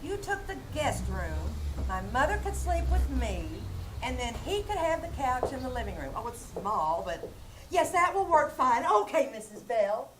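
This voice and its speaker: A woman playing a high, scatterbrained young wife. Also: big pitch swings, normal speed. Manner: flustered, literal-minded chatter. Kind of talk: 1940s radio comedy acting, live on stage. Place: small theater stage, live performance. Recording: live theater recording with background noise.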